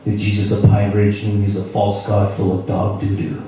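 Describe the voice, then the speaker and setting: A man from South Dakota performing a spoken-word story live, with a wry, dramatic delivery that swings from loud to hushed. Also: fairly low pitch, completely flat delivery, moderate pace, soft background music. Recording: echoey room from far off.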